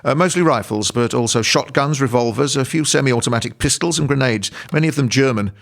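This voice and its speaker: A man's voice: medium pitch, fairly quick, creaky, close to an everyday voice. A man playing a deferential, hesitant subordinate reporting to his superior in an audio play. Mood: dutiful, factual. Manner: hesitant, apologetic, dutifully factual.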